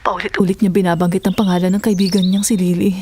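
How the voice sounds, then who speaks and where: A woman's voice: lowish voice, little pitch movement, quick pace, warm tone, a little hiss or hum, lightly acted. A woman with a Filipino accent acting a worried, tearful middle-aged mother in an audio drama. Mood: anxious.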